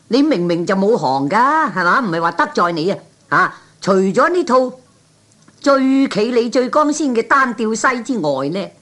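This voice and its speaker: A man voicing an excitable, nosy hanger-on with a Cantonese accent in a 1960s comic radio play, chatty and full of exclamations. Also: high voice, heavily acted. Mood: curious, teasing.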